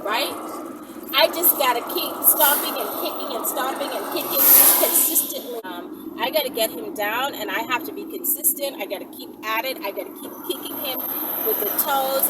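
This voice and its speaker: Woman, high-pitched, casual Q&A host, emphatic, tag questions, very loud background noise. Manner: animated, emphatic, often tags sentences with "right?". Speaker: channel host. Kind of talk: casual question-and-answer chat. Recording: distant mic, loud vehicle-like background noise.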